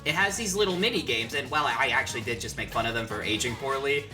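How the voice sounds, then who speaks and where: A man's voice: mid-range voice, quick pace, over music. A man giving a casual, opinionated video essay narration on games, with some swearing. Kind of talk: casual game review narration.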